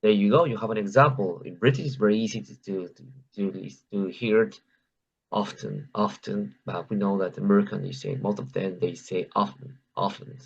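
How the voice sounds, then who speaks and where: A man's voice: on the low side, steady tone, normal speed, gravelly, breathy edge. Peruvian Spanish-accented man, lo-fi sound. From Peru. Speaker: club member. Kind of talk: casual conversation practice.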